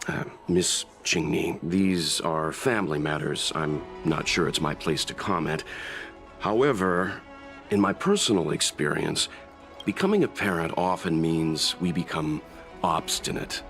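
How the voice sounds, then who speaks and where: An actor playing a composed, courteous man in a video game, speaking in a formal, measured, tactful way. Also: extremely low pitch, lively intonation, gravelly, fairly bright tone, soft background music, heavily acted. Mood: measured, advising. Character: composed, courteous adult man giving measured family advice.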